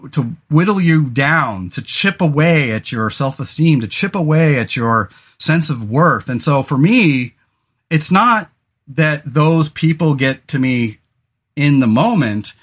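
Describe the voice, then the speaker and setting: A man's voice: on the high side, animated, a little slow, fairly bright tone. A man in his forties hosting a podcast, talking casually and rambling with lots of fillers, restarts and casual swearing. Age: 40s. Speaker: podcast host.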